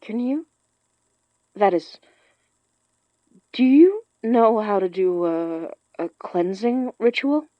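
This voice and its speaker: A woman playing an earnest, high-strung occult practitioner in an audio drama, speaking in an animated, natural way. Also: takes it slowly, long pauses, dry recording, muffled recording. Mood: eager. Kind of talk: audio fiction podcast acting.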